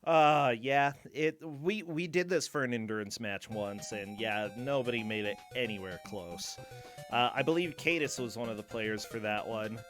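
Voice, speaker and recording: A man's voice: medium pitch, normal speed, a bit bright, faint music behind. A man hosting a streamed game show, chatting casually and joking playfully. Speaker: host.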